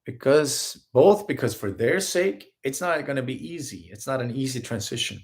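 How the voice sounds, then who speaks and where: A man's voice: medium pitch, moderate pace. A man from Sweden with a Swedish accent, giving an earnest live-streamed spiritual talk as a healer and host. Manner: earnest, animated, acts out little scenes in quotes.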